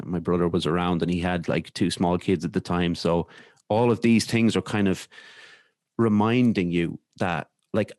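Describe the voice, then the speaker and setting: An Irish man speaks in a low voice as an interview guest. He tells a personal story reflectively, often restarting and repeating words. The sound is dry, with very little room on it. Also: a little mellow, no echo. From Ireland. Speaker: podcast guest.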